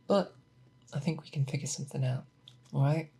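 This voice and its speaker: A woman playing a seasoned, patient monster hunter in an ASMR roleplay, speaking softly and thoughtfully to an apprentice, close to the mic. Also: a bass voice, vocal fry, slight background noise. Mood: musing, grave. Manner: deliberate, hesitant, thoughtful explaining. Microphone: close binaural microphone.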